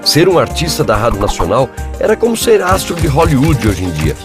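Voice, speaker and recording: A man's voice: medium pitch, big pitch swings, a little fast. Brazilian man narrating over prominent music. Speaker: documentary narrator. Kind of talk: scripted educational history narration.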